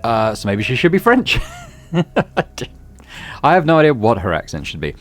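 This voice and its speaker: A man hosting a gaming channel, thinking aloud in casual let's-play commentary over faint game music. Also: moderate pace. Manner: musing, thinking aloud with lively pitch.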